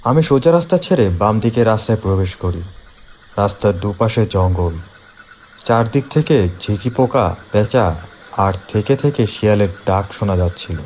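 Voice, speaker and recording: A man narrating a scripted first-person horror story for an animated cartoon, in a dramatic storytelling manner. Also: fairly low pitch, moderate pace, slight background noise.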